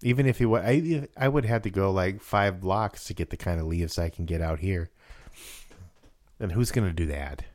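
A man with a very low, raspy voice talks casually and haltingly, often restarting his sentences. He is recorded close to the mic in a dry room with no echo, and he sounds relaxed as he chats. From South Dakota, United States. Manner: halting, many restarts and fillers. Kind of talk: casual chat.

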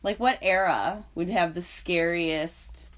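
A woman's voice: fairly low pitch, slow pace. A woman co-hosting a podcast, stuffy-nosed from a head cold, chatting and laughing casually. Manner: chatty, laughing, tipsy banter. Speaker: podcast co-host. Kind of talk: casual chat.

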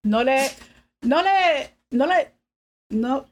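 A woman in her fifties, a podcast guest, telling family stories in a relaxed way and mixing English and Spanish. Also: high-pitched, extremely slow. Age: 50s. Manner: switches between English and Spanish, laughs often. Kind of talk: casual bilingual conversation.

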